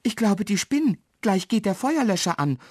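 A woman's voice: on the high side, expressive, a little slow, darkish tone, no room sound, studio quality, voice pushed up. A woman voicing a kind, plucky and eager little girl in a children's storybook. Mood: scolding.

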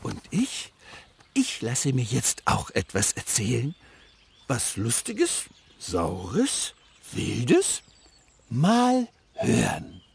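Slow, husky old bear for kids' radio, voice rising and falling a lot. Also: male voice, mid-range voice, huge pitch swings, heavily acted. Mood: curious. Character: slow gentle old bear who loves stories. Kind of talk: children's radio play.

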